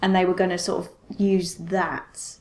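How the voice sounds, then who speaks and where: A woman's voice: on the low side, flat delivery, a little slow, a little mellow. Woman chatting casually on a podcast. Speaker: podcast host. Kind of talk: casual movie discussion.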